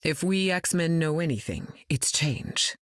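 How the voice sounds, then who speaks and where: An actress playing a regal, composed mutant heroine in a video game, with a stately, measured manner and dry wit. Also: female voice, low voice, lively intonation, no room sound. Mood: composed, grave. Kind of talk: video game character lines.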